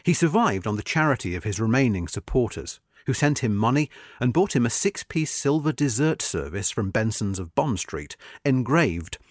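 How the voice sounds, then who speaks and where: A man narrates a history article in a measured, expressive read. His intonation swings widely from phrase to phrase, stressing key words. The recording is dry and close, with no room sound. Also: medium pitch, dry recording. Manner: measured, expressive reading with marked emphasis. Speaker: narrator reading a magazine article. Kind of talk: scripted narration.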